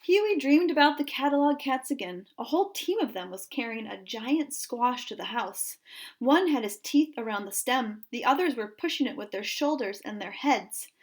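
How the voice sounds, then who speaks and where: High-voiced woman reading a story aloud. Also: expressive, moderate pace. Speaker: storybook reader. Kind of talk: read-aloud narration of a children's story.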